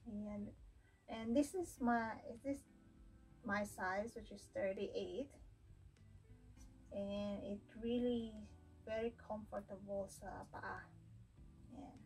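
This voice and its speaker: Unhurried Filipino woman chatting about finds. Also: mid-range voice, darkish tone, recorded from a distance, faint music behind, poor audio. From Philippines. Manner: chatty, mixes Tagalog into English, thinks aloud with fillers. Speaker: fashion vlogger. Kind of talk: casual unboxing haul review. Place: home room with wooden drawers, indoors.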